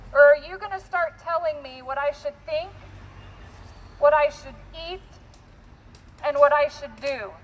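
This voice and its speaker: An American woman activist giving a deliberate protest speech through a bullhorn, posing pointed questions to an official. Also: high-pitched, a bit bright. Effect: bullhorn amplification. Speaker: protest activist speaking to the governor. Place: outdoors on a residential street. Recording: noisy outdoor street recording.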